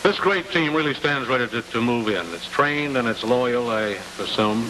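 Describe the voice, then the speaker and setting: A man's voice: mid-range voice, normal speed. An American man hosting a 1950s television interview, asking long questions in a folksy way. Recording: noisy, hissy 1950s television broadcast.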